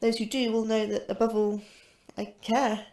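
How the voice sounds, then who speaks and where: Sci-fi drama lead: woman acting an earnest, overachieving young British astrobiologist, lightly pitched, mellow. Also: flat delivery, normal speed, close to an everyday voice. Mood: earnest, confident. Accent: British English. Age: young adult. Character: ambitious, self-assured, anxious young woman astrobiologist.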